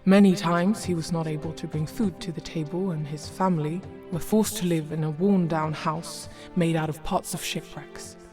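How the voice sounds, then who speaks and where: Female storybook narrator, low-pitched and measured, over prominent music. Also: fairly even intonation. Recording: loud music behind.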